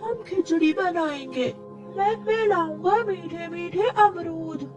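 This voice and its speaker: A woman voicing an eager, friendly little male bird child in a cartoon story, pleading and repeating words excitedly. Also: very high-pitched, slow, voice pushed up. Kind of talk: Hindi cartoon story acting. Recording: distant mic with music behind.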